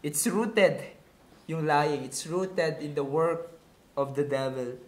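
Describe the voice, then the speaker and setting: Filipino guy preaching, pretty high voice, slow n teachy, repeats stuff for emphasis, echoey room. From Philippines. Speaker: pastor. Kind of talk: sermon mixing Tagalog and English.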